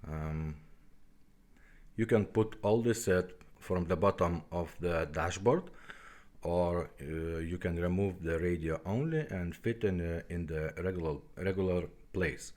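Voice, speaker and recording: Male demo presenter, non-native English speaker. Deep register, animated melody, halting step-by-step delivery. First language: not English. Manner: halting, simple step-by-step explaining. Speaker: presenter of a vintage car radio demonstration. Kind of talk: casual product demonstration.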